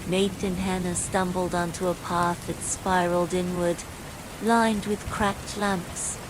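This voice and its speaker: A woman's voice: lowish voice, slow. A woman reading a scripted horror bedtime story, with falling rain behind her. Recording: steady rain noise throughout.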